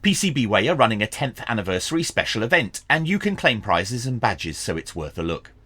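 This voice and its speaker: A man presents a product review in a bright, crisp-edged voice with lively, moving intonation. He explains things plainly and matter-of-factly, speaking close to the microphone in a very dry recording. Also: lowish voice, fairly quick, dry recording. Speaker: reviewer and channel host. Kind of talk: product review narration to viewers.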